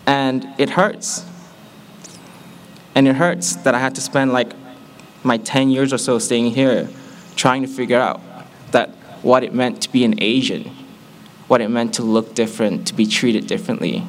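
Young man, medium pitch, heartfelt testimony to peers, candid with joking asides, faint ambience behind. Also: fairly even intonation, moderate pace, long pauses, slight background noise. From Malaysia. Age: young adult. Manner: earnest, candid, with playful asides to the audience. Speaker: student giving a testimony. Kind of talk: personal testimony to a group.

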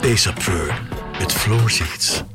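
An actor playing a sly, obsessive collector who narrates his own tale in an unhurried, savouring way for an audio drama. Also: low voice. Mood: reverent. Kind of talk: scripted first-person audio drama narration. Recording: music bed under voice.

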